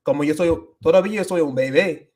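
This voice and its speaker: A man's voice: a little high, quick pace, darkish tone. A young man hosting a casual livestream, answering viewer questions in a loose, rambling way full of restarts and filler words, slipping into Spanish with a Dominican accent. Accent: Dominican Spanish accent when speaking Spanish.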